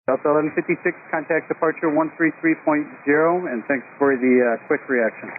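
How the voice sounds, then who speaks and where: A man's voice: a little high, fairly even intonation, brisk. A man working as an air traffic tower controller, giving clipped instructions over aviation radio. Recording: tinny narrowband radio with faint static.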